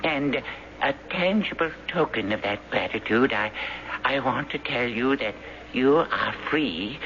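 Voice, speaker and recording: Hunted eccentric, bright, urgent voice with wide swings, slyly persuasive, old-time radio drama. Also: male voice, mid-range voice, expressive. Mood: persuasive, mysterious. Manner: theatrical, halting, insistent. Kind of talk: 1970s radio drama acting. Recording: dull, noisy old radio broadcast.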